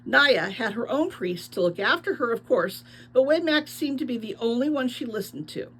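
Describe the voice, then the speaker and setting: High, animated woman reading aloud. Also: moderate pace, husky, poor audio. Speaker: read-aloud teacher. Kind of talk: expressive book read-aloud with explanatory asides.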